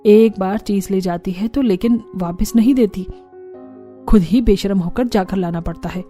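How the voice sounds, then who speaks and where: Woman doing older cartoon saas, bossy n food-loving, soft mellow tone, orders everyone. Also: medium pitch, moderate pace, soft background music, close to an everyday voice. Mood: instructing. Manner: commanding, relishing. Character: bossy, greedy older mother-in-law who relishes food.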